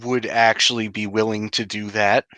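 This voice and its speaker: Male tabletop player, bright forceful tone, very dry recording, casual and practical. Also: lowish voice, level tone, moderate pace, dry recording. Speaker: player in a tabletop role-playing game. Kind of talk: casual improvised game chat.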